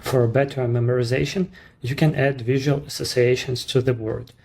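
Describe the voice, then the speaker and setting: A man speaking English with a Ukrainian accent, his voice sitting at an ordinary middle pitch. He explains each step of a screen-recorded software walkthrough in a measured, unhurried way, with the odd non-native turn of phrase. He is a native Ukrainian speaker presenting his own service. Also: steady tone, vocal fry.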